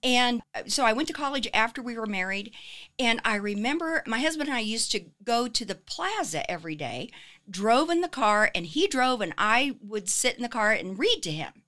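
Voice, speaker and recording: A woman in her seventies, a guest telling her life story in a lively, upbeat casual chat. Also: a little high, moderate pace. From Kansas City area, US. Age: 70s.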